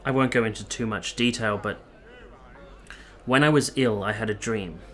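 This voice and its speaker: An actor playing an earnest, tender young officer in a radio play, speaking and narrating in a measured, sincere, literary way. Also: male voice, lowish voice, natural voice. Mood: earnest, gentle.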